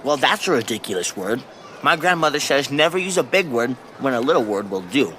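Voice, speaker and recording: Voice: mid-range voice, sing-song, fairly quick, fairly bright tone, a little hiss or hum, poor audio. An actor playing a blunt, food-obsessed middle-school kid with a dry, sceptical manner in a children's audio drama. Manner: dry, matter-of-fact, sceptical.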